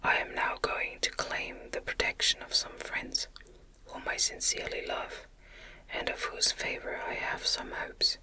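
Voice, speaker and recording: A woman's voice: unhurried, bright, forceful tone, poor audio. A woman voicing a lonely, anguished male outcast creature in a whispered audiobook, formal and pleading. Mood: fearful, pleading. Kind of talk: whispered ASMR audiobook reading.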